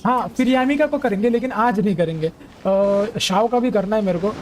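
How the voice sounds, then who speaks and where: A man's voice: high-pitched, racing, slight background noise. A man with an Indian accent chatting casually as a game streamer, often putting questions to viewers. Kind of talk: casual gameplay chat.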